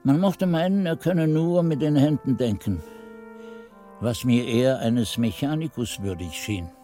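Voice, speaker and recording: An older male actor playing an aged monk who narrates memories of his youth in a measured, literary radio play style. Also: medium pitch, mellow, lightly acted. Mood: reflective. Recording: 1980s radio play with faint music.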